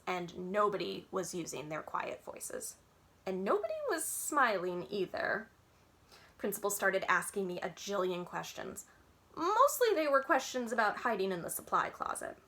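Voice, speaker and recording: A woman's voice: medium pitch, pushed and bright. A woman reading a children's book aloud expressively, as a library storyteller, with dramatic, childlike first-person narration.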